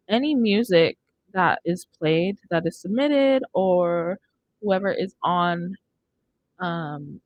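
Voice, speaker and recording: A woman's voice: on the low side, lo-fi sound, a little hiss or hum. A young American woman hosting a casual podcast chat from a studio, close on the microphone. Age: young adult. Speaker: radio show host. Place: indoor studio. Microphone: close studio microphone on boom arm.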